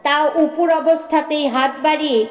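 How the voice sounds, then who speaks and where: A woman narrating a short story in a measured, expressive, dramatic audiobook style. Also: high voice. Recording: echoey, long reverb.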